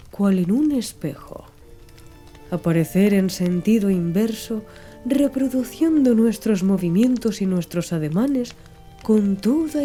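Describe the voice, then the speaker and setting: A woman reading a literary audiobook in a measured, clearly phrased storytelling manner. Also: medium pitch, warm tone. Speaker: audiobook narrator. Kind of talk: scripted literary audiobook narration. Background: background music.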